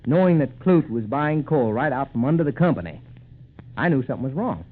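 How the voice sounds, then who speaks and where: An actor in a 1940s radio drama playing a clear-headed, modest young man solving a frontier mystery in an earnest way. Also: medium pitch, animated, fairly quick, lightly acted. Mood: earnest explaining. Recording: muffled narrowband 1940s radio recording.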